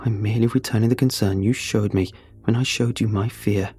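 A man voicing an intense, earnest male friend in a horror story, speaking in a deliberate, insistent way. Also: fairly low pitch, fairly even intonation, mellow, breathy edge, soft background music. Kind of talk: dramatic horror story dialogue.

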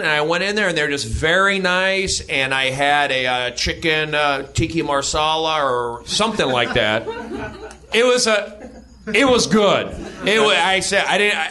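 A nasal American man with a fairly high, forceful voice talks in a casual comic ramble. He often interrupts himself and circles back as he confesses things. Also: animated. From Los Angeles, United States. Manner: rambling, halting, self-interrupting. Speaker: comedian guest and podcaster. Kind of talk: casual comedic confession to an audience.

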